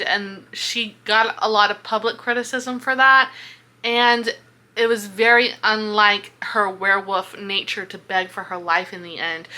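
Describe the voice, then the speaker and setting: A woman chatting casually about a book, expressive, with plenty of slang and swearing. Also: on the high side. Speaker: book reviewer and vlogger.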